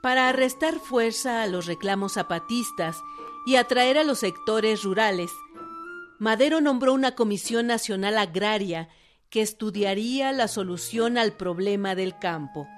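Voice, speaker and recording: A woman's voice: mid-range voice, a little slow. A woman narrating a scripted historical documentary in a formal, articulate manner. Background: music.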